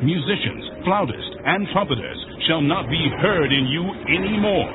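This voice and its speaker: A man portrays a mighty angel pronouncing final ruin in a scripture drama. The solemn declamation swings widely in a raised pitch, over music in thin, phone-like sound. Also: background noise. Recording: tinny band-limited audio with music.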